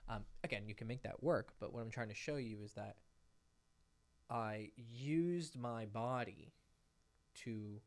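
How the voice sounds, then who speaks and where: A man giving casual dating advice, talking loosely and animatedly and acting out bits of example conversation, with a voice he calls fairly high-pitched. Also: unhurried, long pauses, darkish tone. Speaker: dating coach. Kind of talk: casual advice monologue to camera. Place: indoors, sitting in a rocking chair.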